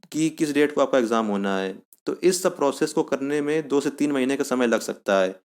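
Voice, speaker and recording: A man's voice: medium pitch, darkish tone. A man hosting an informational video, narrating an explanation in a methodical, step-by-step way. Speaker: exam-news video host.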